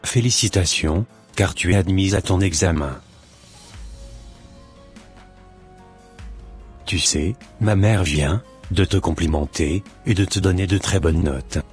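An actor playing a polite, scheming young evangelist in a cartoon drama, speaking in measured, formal phrases. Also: male voice, low voice, soft background music, close to an everyday voice. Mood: pleased.

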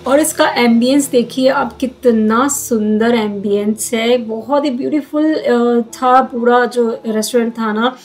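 A woman's voice: a little high, steady tone, unhurried, hardly any pauses, faint music behind. A woman in her forties vlogging on a phone held at arm's length, chatting casually and mixing in English phrases with lots of fillers. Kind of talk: casual vlog narration. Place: car and busy restaurant. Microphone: phone camera at arm's length.

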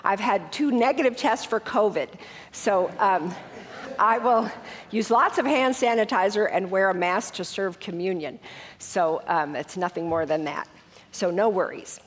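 Middle-aged woman pastor, a little stuffed up from a cold, friendly and conversational, long church reverb. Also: medium pitch. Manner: warm, conversational announcements then measured liturgical prayer. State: congested with a head cold. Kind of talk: church welcome and liturgical prayer. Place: large church sanctuary.

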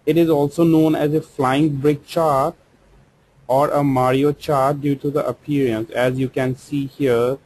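A man with an Indian English accent, walking through a software tutorial step by step as a trainer, explaining slowly with occasional fillers. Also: mid-range voice, slightly rough, poor audio. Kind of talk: screen-recorded software tutorial. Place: voice-over for screen recording.

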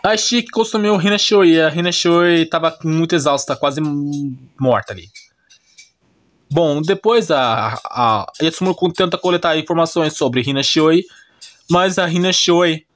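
A man's voice: on the high side, a bit bright. A man with a Brazilian accent giving a casual, unscripted explanation as a YouTuber, repeating himself and often checking that the listener follows. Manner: repetitive, often checks listener understanding with short tag questions. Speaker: YouTuber recapping anime and manga.

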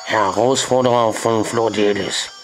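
Young male let's play host, under the weather with a slightly strained voice, talks slowly and fills pauses often; headset mic in a small bedroom. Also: on the low side, steady tone, faint music behind, low-quality recording. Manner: hesitant, many filler "ja"s, slow and deliberate. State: has a cold, voice a bit strained. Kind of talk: let's play commentary, reading game text aloud.

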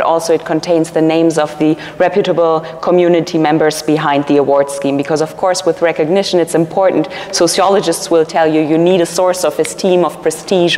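A woman's voice: deep voice, a little mellow. A woman researcher giving a conference keynote from a podium, explaining earnestly with fillers and restarts. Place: large conference hall, at a podium. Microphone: podium mic, filmed from a far camera. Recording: long hall reverb.

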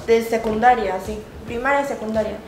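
A woman's voice: on the high side, steady tone, a little fast, roomy sound, noisy background. A young woman hosting a casual chat, asking questions and laughing a lot. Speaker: host. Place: indoor studio set at a table.

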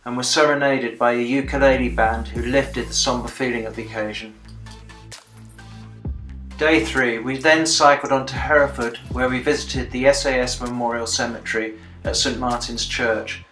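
A man's voice: mid-range voice, steady tone, normal speed, a bit bright, reverberant, soft background music, rough recording. A British man in his sixties, a veteran narrating a video diary of a commemorative bike ride in a plain-spoken, dryly understated way. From Britain. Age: 60s. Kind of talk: reflective voice-over narration.

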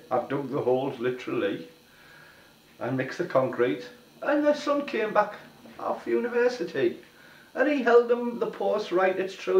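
A hoarse older man recites a rambling rhyming story in a lively sing-song voice, his pitch rising and falling a lot. He sits well back from the camera in a small living room, so the sound comes out roomy and a bit dull. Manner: sing-song rhyming delivery with a repeated tag. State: hoarse from a cold. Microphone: camera at a distance. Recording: roomy, distant, dull-sounding home video.

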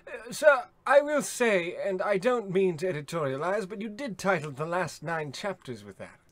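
Man playing a high-voiced, meek male assistant. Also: sing-song, moderate pace. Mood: deferential. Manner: hesitant, stammering, meek. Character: timid, deferential male assistant to a tycoon. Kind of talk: parody of old radio drama acting.

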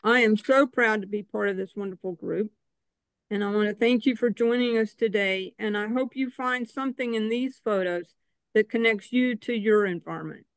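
A man's voice: high voice, moderate pace, clean tone, no echo, poor audio. An older man giving a prepared, earnest talk about his own photography over a video call. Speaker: exhibiting photographer. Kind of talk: prepared speech introducing own work.

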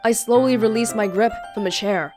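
A woman hosting a let's play, reading a visual novel aloud with playful, expressive casual commentary. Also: on the high side, normal speed, over music.

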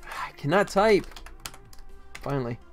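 A man's voice: on the high side, expressive, a little slow, soft background music. A middle-aged man gives casual live commentary as a game developer, thinking aloud in short fragments. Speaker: game developer livestreaming his work.

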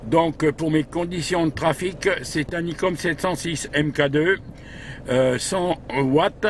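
A man's voice: fairly high pitch, takes it slowly. A man working as a radio operator from a parked vehicle, talking in procedural radio chat with frequent tag words. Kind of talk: radio contact chat with spelled-out call signs. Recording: background noise in a vehicle.

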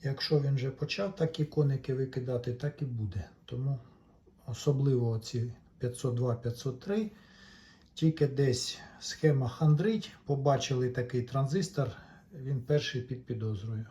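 A man giving casual hands-on repair commentary, talking to viewers in an unhurried way as he works. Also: mid-range voice, mellow. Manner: unhurried, talks along while working, addresses viewers. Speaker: electronics repair video maker.

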